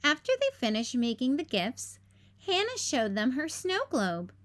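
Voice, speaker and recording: A woman hosting a read-aloud, narrating a children's storybook in an expressive, careful storytelling style. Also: high-pitched, unhurried.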